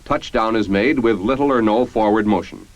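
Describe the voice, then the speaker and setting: A man's voice: lowish voice, dry recording. Dull-sounding 1950s American training-film narrator, male. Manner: formal, instructional, measured. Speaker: narrator of military instructional film.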